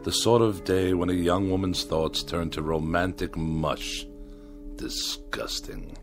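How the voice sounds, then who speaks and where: An actor playing a haughty, paranoid middle-aged writer in a radio drama, with florid, sarcastic speech. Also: male voice, low voice, fairly even intonation, takes it slowly. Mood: disdainful. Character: pompous, paranoid, irascible middle-aged male writer who hates machines. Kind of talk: theatrical radio drama acting. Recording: studio radio drama with music behind.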